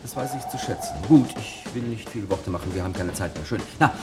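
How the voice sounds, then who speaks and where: Curt male authority figure played by an actor, radio drama, commanding, animated intonation, heavy music underneath. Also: fairly low pitch, fast, lightly acted. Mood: stern, dismissive. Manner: clipped, commanding, dismissive. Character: curt, imperious boss addressing subordinate gentlemen. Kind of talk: experimental 1970s radio play acting. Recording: voice over loud music and drums.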